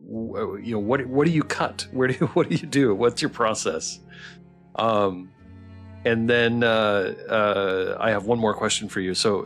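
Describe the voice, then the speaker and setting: Guy with a lowish voice running a chill q&a stream, really into it, bit of music under him. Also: a bit bright. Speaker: host of developer Q&A stream. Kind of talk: casual interview, moderating.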